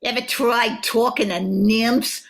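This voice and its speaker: A woman's voice: fairly high pitch, steady tone, slow, vocal fry. An older woman playing a lovesick, sarcastic nymph in a radio play, with a nasal New York accent.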